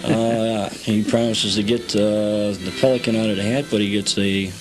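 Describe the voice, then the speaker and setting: A man's voice: fairly low pitch, flat delivery, moderate pace, low-quality recording. A man guiding a tour as an interview guest, telling a story step by step in a matter-of-fact way. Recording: music playing behind the voice.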